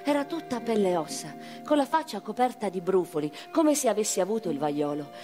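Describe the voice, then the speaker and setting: A woman acting in radio theatre, delivering a dramatic first-person monologue as a proud, reflective noblewoman with deliberate phrasing. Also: medium pitch, sing-song, over music. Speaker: actress in a radio play.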